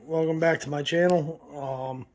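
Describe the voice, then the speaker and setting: A man, hoarse from a cold, hosting a casual product review vlog in a relaxed, folksy way full of fillers. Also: a little high, a little slow. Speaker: channel host.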